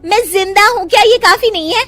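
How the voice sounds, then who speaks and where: A woman voicing a lazy, scheming young daughter-in-law who whines and pleads in a high voice for a cartoon. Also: fairly quick, pushed and bright, faint music behind. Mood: defensive. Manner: whiny, high-pitched pleading. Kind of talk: Hindi cartoon voice acting.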